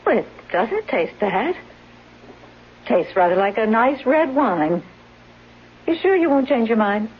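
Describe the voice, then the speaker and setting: An actress playing a scheming caregiver and secret lover in a 1970s radio drama, brisk, sardonic and hard-edged. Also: female voice, a little high, big pitch swings, breathy edge, exaggerated voice. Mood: coaxing, sly. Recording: dull, noisy, humming vintage radio recording.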